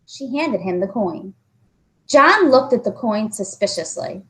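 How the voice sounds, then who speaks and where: A woman reading a children's story aloud as an expressive narrator. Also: mid-range voice, unhurried. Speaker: storybook reader.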